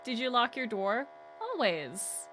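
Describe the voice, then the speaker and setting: A woman's voice: on the high side, sing-song, takes it slowly, sharp tone, soft background music. A woman reacting on a livestream in a casual, playful way, talking back to the video she watches. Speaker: streamer reacting to a horror series.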